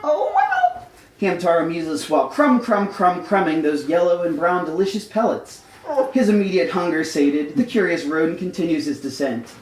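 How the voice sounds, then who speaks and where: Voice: medium pitch, normal speed, a little mellow, slight background noise. A comedy storyteller reading a scripted tale live in an amused, mock-formal storybook manner. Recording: echoey live room.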